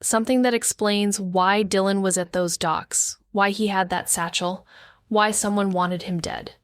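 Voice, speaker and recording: A woman playing a guarded, world-weary amateur sleuth in a noir audio drama, wry and deliberate as she speaks. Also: medium pitch, steady tone, clean tone, dry recording, clean studio sound, lightly acted. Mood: wry, brooding. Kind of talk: noir audio drama, first-person narration and dialogue.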